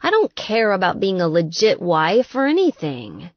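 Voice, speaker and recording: A woman's voice: mid-range voice, wildly swooping pitch, moderate pace, dry recording, lo-fi sound. A woman voicing a tipsy, heartbroken young woman in an audiobook, plaintive and self-pitying, with a sighing, drunken delivery. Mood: morose. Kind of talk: audiobook character dialogue.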